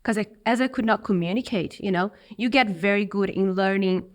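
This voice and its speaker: A woman's voice: mid-range voice, brisk. A woman from Brazil with a Brazilian Portuguese accent, a business owner speaking reflectively and expressively as an interview guest. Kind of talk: interview conversation.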